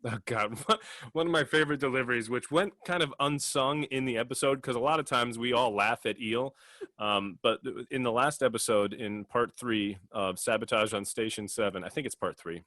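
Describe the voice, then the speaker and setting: A man's voice: mid-range voice, moderate pace, fairly bright tone, no room sound. A man co-hosting a podcast in casual discussion, rambling and hesitant as he works toward his point. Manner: hesitant, rambling, laughs easily. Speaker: podcast host and player.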